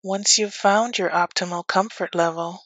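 A woman teaching yoga nidra as a guided meditation, speaking gently and soothingly with long pauses. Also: mid-range voice, steady tone, no echo.

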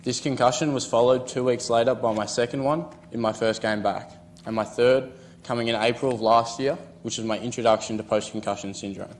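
A man's voice: medium pitch, fairly even intonation, a little fast, a little hiss or hum. A young Australian man in his late teens giving an earnest prepared talk at a conference podium. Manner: earnest, reads from notes. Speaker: student speaker.

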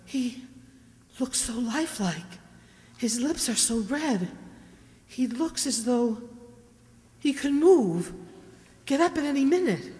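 A woman plays a haunted, doubting wife in a vintage radio thriller. Her voice is high and dramatic, full of dread. It rings in a echoey stage hall. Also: expressive, slow pace, long pauses, mellow. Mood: uneasy. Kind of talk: old-time radio mystery acting. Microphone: stand microphone on stage.

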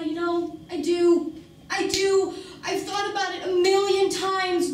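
Woman acting embittered struggling mother, very echoey room. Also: extremely high pitch. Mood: wounded, regretful. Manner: emphatic, self-questioning, swearing, builds in rhetorical questions. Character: embittered struggling working-class mother, defensive and pleading. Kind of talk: dramatic stage monologue acting. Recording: very echoey room, distant mic, faint noise.

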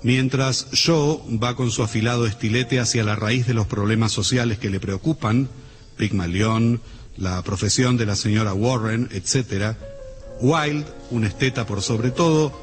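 Man, Argentine accent, radio theatre presenter, formal literary narration, measured and solemn, music behind. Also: medium pitch. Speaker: presenter introducing a radio play.

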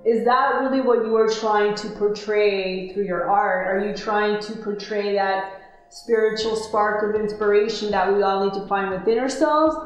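A woman asks long, curious questions in an even, mid-range voice. Her voice rings with heavy room echo, and music plays faintly behind her. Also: medium pitch, moderate pace. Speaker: off-camera interviewer. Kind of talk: interview questions.

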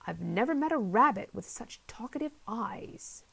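A woman's voice: on the high side, expressive, normal speed, gravelly, fairly bright tone, slightly rough, exaggerated voice. A woman voicing a polite, old-fashioned old male traveler with theatrical, formal exclamations. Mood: amazed.

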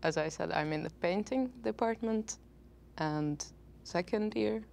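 Soft, gravelly young woman, slow. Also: mid-range voice, a little hiss or hum. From Hungary. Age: young adult. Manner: soft-spoken, hesitant, searching for words. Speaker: student panelist. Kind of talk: casual panel chat. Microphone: lapel mic.

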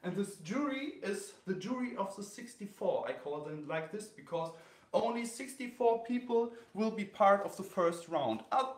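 Man, German accent, thirties, halting with fillers, high-ish voice, roomy echo. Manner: halting, many fillers and restarts. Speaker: host of a graffiti sketch battle. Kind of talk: live-streamed explanation to camera. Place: indoor room with a wall-mounted bracket.

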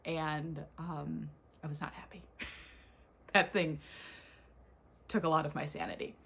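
Low, husky young woman vlogger. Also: steady tone, a little slow, fairly bright tone. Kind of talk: casual chat to camera. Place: small furnished room lined with bookshelves, indoors.

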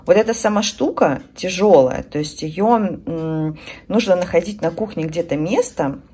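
A woman's voice: on the low side, normal speed, warm tone. A woman in her thirties chatting casually to her viewers at home, emphatic and conversational, repeating herself for stress. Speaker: beauty and lifestyle vlogger. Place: indoors at home.

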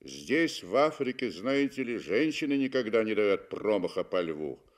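A man's voice: lowish voice, sing-song, normal speed. A man voicing a cool, curt British male safari hunter in a radio reading, blunt, clipped and assured. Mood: coldly firm.

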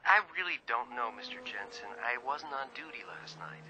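A man's voice: medium pitch, expressive, a little fast, close to an everyday voice, poor audio. A man acting in a radio drama as a polite, puzzled hotel desk clerk.

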